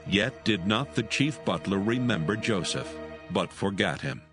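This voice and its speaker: A man's voice: on the low side, animated, a little slow. A man narrating scripture as a dramatized reading, in a solemn and formal manner. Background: soothing background music.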